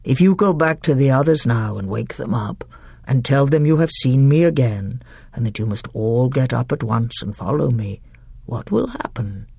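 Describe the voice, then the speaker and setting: A woman voicing a huge, wise, gentle male lion, speaking low, slow and hushed. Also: lively intonation, vocal fry, husky, no room sound, studio quality, full character voice. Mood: grave gentle.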